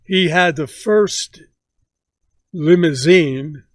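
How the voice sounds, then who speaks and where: Elderly man in his 90s, slow and halting, slightly high voice, dry close sound. Grandfather recounting memories. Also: no echo. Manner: slow, halting recollection with many pauses. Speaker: grandfather telling stories. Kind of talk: casual family interview.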